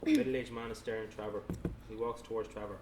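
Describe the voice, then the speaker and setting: A man's voice: fairly low pitch, fairly even intonation, quick pace. A man reading screenplay directions aloud as narrator, plain and matter-of-fact, with the odd stumble. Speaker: narrator reading stage directions at a table read. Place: indoor room, table read. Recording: echoey room, distant mic, noisy background.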